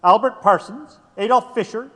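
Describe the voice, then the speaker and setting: A man's voice: sits high, moderate pace, a bit bright. An actor playing a jury foreman in an audio drama, formally declaiming a verdict. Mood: solemn, formal. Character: tense male jury foreman announcing a verdict. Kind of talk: dramatized courtroom announcement. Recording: long hall-like reverb.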